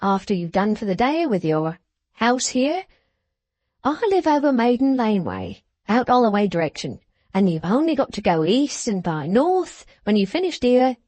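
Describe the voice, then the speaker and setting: A hearty old fellow with rough grammar and a booming, eager delivery, as a woman reads him aloud. He talks slowly and emphatically, his voice pitched up and dry, close to the mic. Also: very animated, a little mellow, dry recording. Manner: broad, rough-grammared, enthusiastic. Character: hearty uneducated older man, jovial and boastful.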